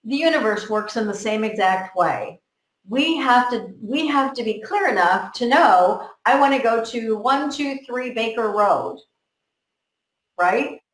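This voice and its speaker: A woman's voice: a little high, expressive, a little slow, echoey room, rough recording. A woman from the United States, talking earnestly as a podcast guest and repeating words as she builds each point. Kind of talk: motivational conversation.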